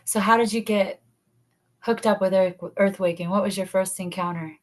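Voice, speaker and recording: A woman hosts an interview podcast, putting simple conversational questions to her guest. Her voice sits at an average pitch and moves very little, staying level from phrase to phrase. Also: fairly even intonation, moderate pace.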